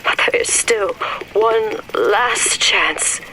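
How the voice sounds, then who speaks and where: An actor playing a regretful, failing man confessing in a game audio diary, grave and halting, with a whispery voice. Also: high voice, pushed and bright, heavily acted, poor audio. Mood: remorseful, grave.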